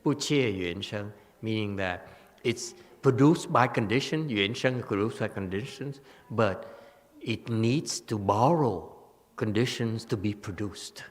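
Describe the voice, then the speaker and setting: A man giving a Buddhist scripture lecture as a teacher, halting and repetitive, often checking that listeners follow. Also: on the low side. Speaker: Buddhist teacher, dharma host. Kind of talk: sermon, explanatory scripture lecture. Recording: long echoing hall reverb.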